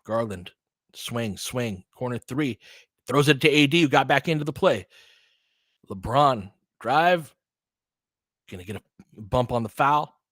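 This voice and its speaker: A man's voice: mid-range voice, animated, unhurried, pauses often, a bit bright, no echo, studio quality. A man doing live basketball play-by-play in short clipped phrases, with casual, partisan asides like a fan commentator.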